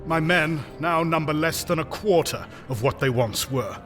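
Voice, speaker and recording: Man acting as a solemn, higher-voiced knight grandmaster. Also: lively intonation, fairly bright tone. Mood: solemn, resolute. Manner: stately, deliberate oratory. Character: stalwart male knight grandmaster, solemn persuasive orator. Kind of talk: fantasy audio drama acting. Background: music.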